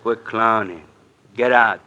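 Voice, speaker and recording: An actor playing a surly, dim gangster henchman in a radio drama, with gruff, slangy, ungrammatical talk. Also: lowish voice, fairly even intonation, takes it slowly, sharp tone, highs cut off. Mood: irritated, bossy. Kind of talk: 1950s radio drama acting. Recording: noisy old radio recording.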